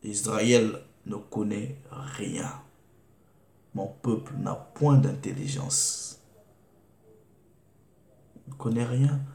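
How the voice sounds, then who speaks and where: A man preaching, reading scripture slowly and deliberately and asking rhetorical questions. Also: fairly low pitch, creaky, dark tone. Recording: echoey room.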